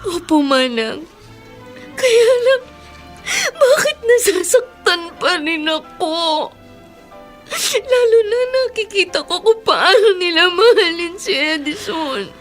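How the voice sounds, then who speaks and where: A woman's voice: very high voice, lively intonation, takes it slowly, fairly bright tone, full character voice. A woman acting a wounded but resilient young woman in an emotional, tearful radio drama style. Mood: tearful, hurt. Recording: music behind studio drama voice.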